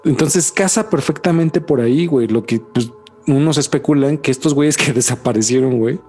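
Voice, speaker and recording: A man with a Mexican accent, co-hosting a podcast in an excitable, slang-heavy casual chat. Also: mid-range voice, brisk, faint music behind. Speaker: podcast co-host.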